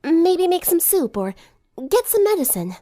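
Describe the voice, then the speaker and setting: Woman voicing a worried, high-pitched teenage girl. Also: sing-song, measured pace, mellow, heavily acted. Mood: anxious, caring. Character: worried, gentle teenage girl pleading with a sick friend.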